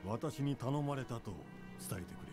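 A man playing a stern, world-weary mentor in a dubbed video game, speaking gravely and dismissively. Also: medium pitch, a little slow, over music, natural voice. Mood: businesslike.

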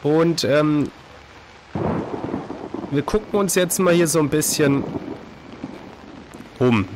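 A hoarse man in his thirties with a cold, casually commenting on a horror game at a desk microphone, reacting jumpily to scares. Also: a little high, takes it slowly, noisy background. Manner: chatty, jumpy reactions to scares. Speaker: let's play host. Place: home streaming room, indoors. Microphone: desk microphone, headset on.

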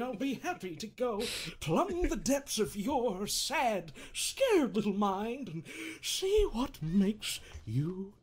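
A man playing a quirky, needling therapist in an improvised comedic game dub, speaking theatrically with halting pauses and self-amused chuckles. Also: high-pitched, slight background noise, heavily acted. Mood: showy, jokey.

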